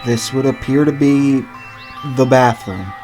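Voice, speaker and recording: A man's voice: medium pitch, measured pace, natural voice. A man playing an earnest, bewildered ordinary teenage boy who narrates his own ordeal in an anime game fandub. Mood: puzzled. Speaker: protagonist and narrator. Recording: music behind the voice.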